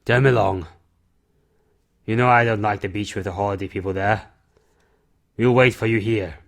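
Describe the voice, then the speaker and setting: A man playing a reserved, gruff older recluse in an audio drama, speaking tersely. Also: on the low side, fairly even intonation, normal speed, a bit bright. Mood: grumbling, firm.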